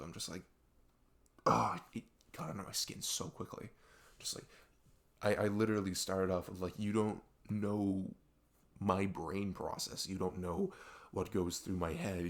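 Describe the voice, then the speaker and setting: A man playing a best friend who confides about a fight with his parents in a casual, halting, rambling ASMR roleplay style. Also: sits low. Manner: halting, rambling, exasperated sighs.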